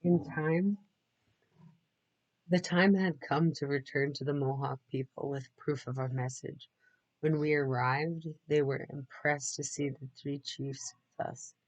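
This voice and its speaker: Guy reading a kids storybook out loud, kinda slow, mid pitch, dry sound. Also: steady tone, no echo, muffled recording. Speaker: read-aloud narrator. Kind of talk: storybook read-aloud with casual asides.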